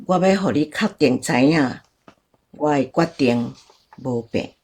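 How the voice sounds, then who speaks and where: An actress with a Taiwanese accent playing a calm, resigned older woman in a radio drama. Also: sits low, unhurried. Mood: calm, resolute. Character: calm, resigned older woman, a former nun and lost sweetheart.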